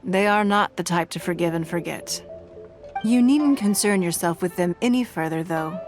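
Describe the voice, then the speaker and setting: Actress as composed game noblewoman, lowish voice, formal, measured, courteous, authoritative, faint music. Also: natural voice. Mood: calm, gracious. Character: composed, dignified female leader, courteous and authoritative. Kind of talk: video game voice acting.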